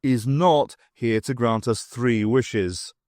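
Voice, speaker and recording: A performer voicing a melodramatic, urgent news commentator in a fairy-tale audiobook, pausing for dramatic effect. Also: medium pitch, a little mellow, no room sound, clean studio sound, natural voice.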